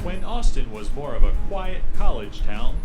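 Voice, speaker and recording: A man's voice: mid-range voice, sing-song, moderate pace. A man reading a scripted book excerpt as narration. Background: music.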